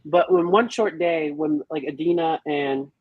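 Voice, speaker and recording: A woman's voice: low-pitched, a little slow, breathy edge, no echo, narrow, tinny audio. A woman chatting casually as a podcast panelist about a film, slangy, profane and given to theatrical retellings. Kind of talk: casual animated chat.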